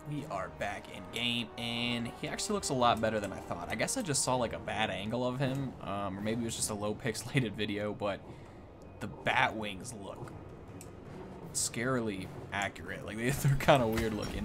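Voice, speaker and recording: A man giving casual, off-the-cuff game commentary in an informal way, thinking aloud as he goes. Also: mid-range voice. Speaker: gaming content creator giving a first look.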